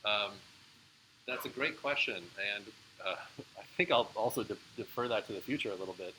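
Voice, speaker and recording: A man's voice: on the low side, normal speed, sharp tone, a little hiss or hum, poor audio. A man giving a live university lecture, explaining in a casual way with frequent fillers and answering student questions. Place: lecture room.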